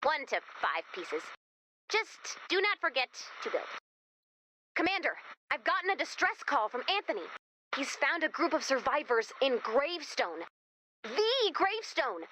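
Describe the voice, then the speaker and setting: A young American woman guiding the player through a video game. Her voice is high and bright, swinging widely in pitch. She comes across as quirky, chatty and theatrical, with a wisecracking streak. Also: very animated, unhurried, slight background noise, full character voice, poor audio. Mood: playful encouragement, then concerned, earnest. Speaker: mission guide character addressing the player commander. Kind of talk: animated video game character dialogue.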